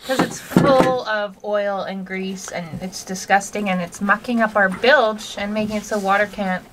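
A woman's voice: mid-range voice, fairly even intonation, moderate pace. A woman chatting casually and asking questions from behind the camera aboard a sailboat. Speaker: sailing vlogger behind the camera. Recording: noisy on-location camera audio.

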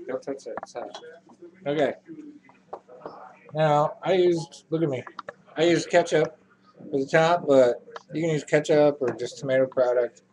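A man hosting a casual home cooking show with his kids, filmed in a kitchen on the camera microphone, chatty and giving quick instructions. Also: fairly high pitch. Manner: relaxed, chatty, gives quick instructions to children. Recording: noisy home video.

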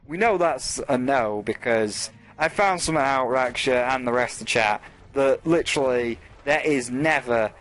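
A man's voice: mid-range voice, a bit bright. A man hosting a let's play, rambling casually and swearing as he talks through the game and to his chat. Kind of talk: casual gameplay commentary.

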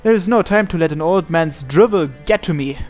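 A man's voice: fairly high pitch, brisk, darkish tone. A man reading a visual novel aloud as dramatic scripted narration. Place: indoors, voice-over on game playthrough. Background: game music.

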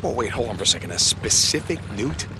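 A man in his thirties with an American accent, playing a gruff, sardonic drifter biker in a video game. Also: fairly low pitch, very animated, quick pace, background noise. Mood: wry, casual. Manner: gruff, muttering, casual. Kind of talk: naturalistic video game acting.

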